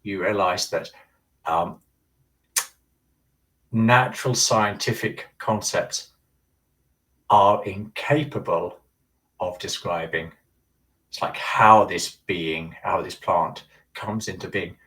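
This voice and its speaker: A man's voice: low voice, slow, pauses often, a bit bright, distant mic, low-quality recording. A man earnestly explaining ideas at length in a casual talk, often restarting his sentences. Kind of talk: casual discussion.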